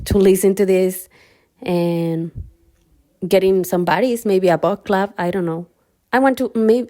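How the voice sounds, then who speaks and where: A young woman from Mexico with a Mexican Spanish accent, a native Spanish speaker, introducing herself in a casual book vlog and speaking slowly and carefully, with hesitant restarts. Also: fairly low pitch, dark tone. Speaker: new book vlogger. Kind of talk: casual first-video introduction.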